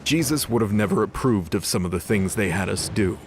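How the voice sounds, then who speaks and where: A man voicing a sardonic, gruff disgraced angel fighter in an audio drama, swearing and sarcastic. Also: lowish voice, expressive, a little fast. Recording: music under the voice.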